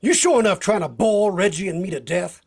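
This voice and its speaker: Male actor as brash US detective, high-pitched, swooping delivery, folksy grumbling, vintage radio drama. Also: lively intonation, a little fast, gravelly, breathy edge, exaggerated voice. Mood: needling, impatient. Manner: folksy, drawling slang, complaining. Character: brash, folksy American detective, restless and needling.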